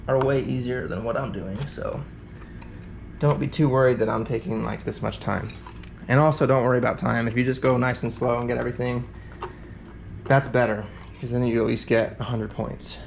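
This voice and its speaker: A man's voice: mid-range voice, vocal fry, a little hiss or hum. A man giving a casual hands-on repair tutorial, rambling with fillers and thinking aloud as he works. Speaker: competitor explaining a repair event.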